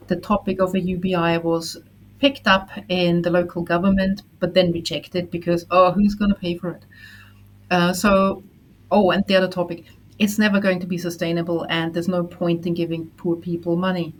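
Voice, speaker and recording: Woman chatting on a community call, lowish voice, earnest and upbeat, lots of restarts. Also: a little hiss or hum, rough recording. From not Switzerland. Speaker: community event presenter. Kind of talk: casual online community talk.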